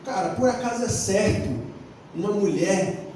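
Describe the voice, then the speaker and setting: High-voiced Brazilian man in an echoey room. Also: moderate pace, warm tone. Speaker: forum panelist. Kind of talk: casual anecdotal panel talk. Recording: echoey room, distant mic, faint noise.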